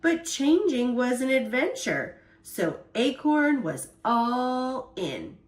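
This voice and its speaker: A woman storyteller reading a children's picture book aloud in an expressive, deliberate way. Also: sits high, reverberant.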